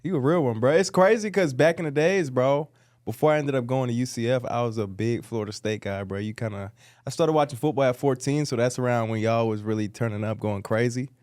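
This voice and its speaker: A man's voice: mid-range voice, fairly quick, darkish tone, dry recording, clean studio sound. A man co-hosting a casual sports podcast, talking in slangy, hyped buddy talk. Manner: slangy, hyped, says bro and man often. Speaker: podcast co-host.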